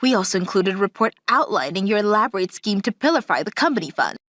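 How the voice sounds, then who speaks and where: A woman's voice: mid-range voice, big pitch swings, quick pace, fairly bright tone, no room sound, studio quality. A woman playing a confident, direct company auditor in an English manga dub. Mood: cool, triumphant. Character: self-assured, teasing female company auditor.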